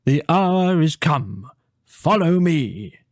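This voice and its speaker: A man voicing a brave, steady badger leader in a storybook, giving gruff commands to rally his friends. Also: a little high, slow pace, pitched above the performer's own voice. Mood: rousing. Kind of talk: storybook character voice in audio play.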